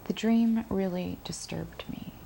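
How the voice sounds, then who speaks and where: Troubled young woman, film drama, hushed halting confession, creaky low voice, noisy rainy ambience. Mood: haunted. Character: troubled young woman confiding a disturbing dream. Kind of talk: naturalistic film acting, monologue recounting a dream.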